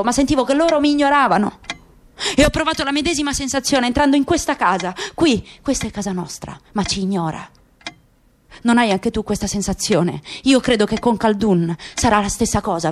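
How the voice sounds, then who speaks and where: A bitter middle-aged father speaks with forceful, wounded resignation in a radio play, acted by a woman. The voice is high, and the recording carries faint noise. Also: natural voice.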